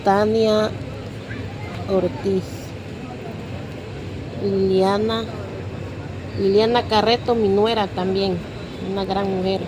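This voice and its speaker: A woman's voice: medium pitch, level tone, takes it slowly, pauses often, background noise. A middle-aged or older woman with a Mexican accent, chatting casually and affectionately in a street interview outdoors. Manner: affectionate, using diminutives. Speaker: passer-by being interviewed. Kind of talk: casual chat, sending greetings. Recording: busy street with people behind.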